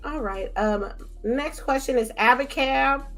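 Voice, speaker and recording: Higher-pitched woman hosting a podcast. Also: unhurried, a bit bright, soft background music. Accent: American. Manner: reads questions aloud, apologetic and laughing. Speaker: podcast host reading listener questions. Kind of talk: casual chat.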